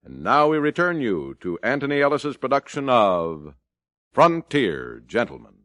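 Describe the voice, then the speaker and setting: A man reading a 1950s radio network promo in a smooth, jaunty announcer style. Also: mid-range voice, very animated, measured pace, a bit bright.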